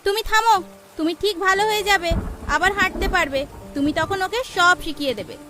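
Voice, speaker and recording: Fretful loving wife, very high and quick, light music behind. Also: female voice. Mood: pleading. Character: devoted wife of a dying man, anxious, tearful. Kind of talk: cartoon voice acting.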